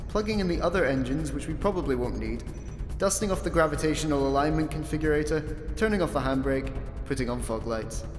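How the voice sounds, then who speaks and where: An actor playing a pedantic, deadpan ship's computer in a sci-fi comedy radio play, dry and officious, with a reverberant voice effect. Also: male voice, on the high side, a little fast. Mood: officious reporting. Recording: reverberant voice over music.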